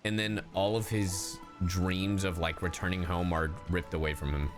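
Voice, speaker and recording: A man livestreaming his reactions to a video game in a casual, excitable, sweary way, with game music behind. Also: deep voice, moderate pace. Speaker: streamer.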